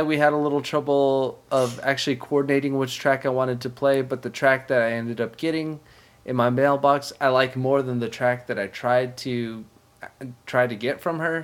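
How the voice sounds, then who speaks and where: A man's voice: mid-range voice, normal speed. A man co-hosting a podcast in a casual, rambling, opinionated chat style. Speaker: podcast co-host. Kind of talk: casual video game music podcast chat. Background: music.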